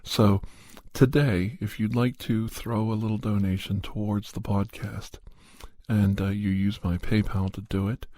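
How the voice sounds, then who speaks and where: Husky, mellow man murmuring softly, slight cold. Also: on the low side, no echo. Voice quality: husky, soft, breathy. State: mild head cold. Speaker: ASMR podcast host. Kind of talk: soft ASMR casual monologue. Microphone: studio condenser microphone, close.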